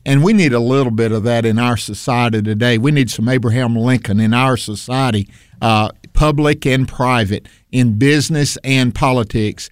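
A preacher co-hosting a faith talk show, loud and passionate, leaning into his words the way he would from a pulpit. His voice sits at a middle pitch. The sound is close and dry, with no echo. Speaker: preacher and radio co-host. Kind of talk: conversational faith discussion.

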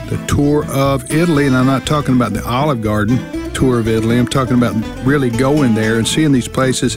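A man delivering an upbeat, folksy promotional radio spot for group tours. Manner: upbeat, folksy sales pitch. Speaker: organization president in an ad. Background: guitar music.

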